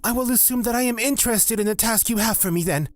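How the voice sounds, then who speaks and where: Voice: medium pitch, fast, no echo, lightly acted. A performer playing a nervous, courteous young cleric and blood witch, talking in a flustered, polite way in a fantasy audio drama. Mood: polite, wary.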